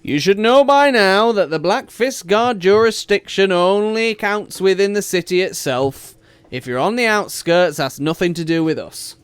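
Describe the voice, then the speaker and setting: A man voicing a gruff, dismissive male city guard who barks short orders. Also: high-pitched, a little slow, dry recording, voice pushed up. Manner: curt, dismissive, bossy.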